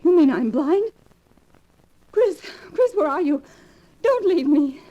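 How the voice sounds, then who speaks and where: An actress playing a possessive, jealous young wife with pleading, theatrical delivery in a 1940s radio melodrama. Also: female voice, high-pitched, lively intonation, slow pace, dark tone, highs cut off. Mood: frightened, pleading. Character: possessive, frightened young wife feigning blindness, clinging and jealous. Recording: vintage 1940s radio recording.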